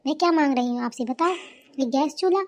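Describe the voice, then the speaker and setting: A woman's voice: sits high, fast talker, close to an everyday voice. A woman voicing a defiant, complaining young daughter-in-law in a comedy cartoon. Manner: indignant, complaining, talks back. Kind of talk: Hindi cartoon family comedy dubbing.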